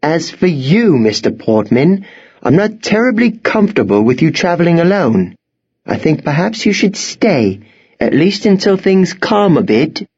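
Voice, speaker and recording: A man's voice: a little high, big pitch swings, unhurried, a little mellow, breathy edge, highs cut off, pitched above the performer's own voice, full character voice. A man voicing a stern, composed, protective headmistress in an audiobook, speaking formally and with precise command. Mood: concerned, insistent. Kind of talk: audiobook character acting.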